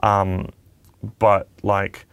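Low, gravelly-voiced man chatting. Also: completely flat delivery, extremely slow, no echo. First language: Mandarin. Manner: chatty, swearing, many fillers and restarts. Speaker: podcast co-host. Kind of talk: casual chat.

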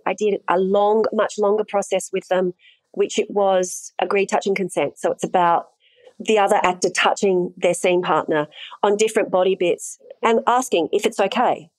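A woman's voice: medium pitch, no room sound, rough recording. A woman, an intimacy coordinator, talking through her work frankly in a casual interview and laughing easily.

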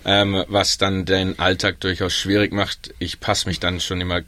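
A man's voice: deep voice, moderate pace, no echo. A young man with a Baden German accent, chatting casually on a panel with frequent ums. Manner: relaxed, chatty, many ums. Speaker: guest, pub worker in Melbourne.

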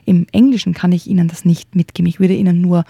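A woman co-hosting a radio podcast, explaining things in a calm, measured way. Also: on the low side, creaky, slightly rough, dry recording. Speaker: podcast co-host.

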